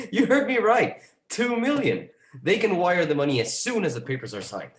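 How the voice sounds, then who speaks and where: A man playing an excited, pushy property agent in his thirties in a screenplay table read, speaking eagerly and briskly. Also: high voice. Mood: gleeful. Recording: roomy, echoing sound.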